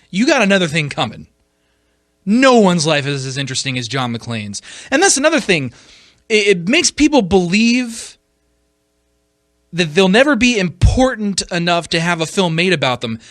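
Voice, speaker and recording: A man with a fairly high, sharp-edged voice recorded close and dry. He speaks as a podcast host giving his opinions on films. His delivery is emphatic and combative, with halting repeats and pointed rhetorical questions. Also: sing-song, dry recording.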